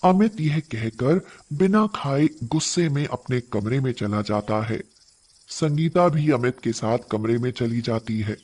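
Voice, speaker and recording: A man's voice: mid-range voice, lively intonation, a little slow, a little mellow. A man narrating a scripted moral story as its storyteller.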